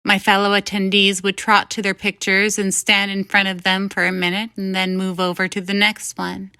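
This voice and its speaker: A woman's voice: mid-range voice, monotone, normal speed, pushed and bright, clear, resonant tone, dry recording. A woman reading a first-person short story as scripted narration. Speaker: story reader.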